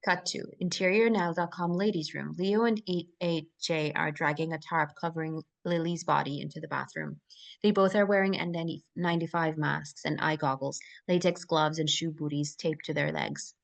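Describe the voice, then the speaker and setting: Female narrator, slightly low voice, reading screenplay action lines and scene headings. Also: moderate pace, creaky. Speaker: stage directions reader at a screenplay table read.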